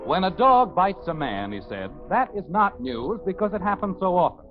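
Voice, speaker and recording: A man's voice: high voice, sing-song, normal speed. A man announcing and narrating a 1950s radio show in a polished, theatrical, scripted style. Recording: muffled 1950s radio with music.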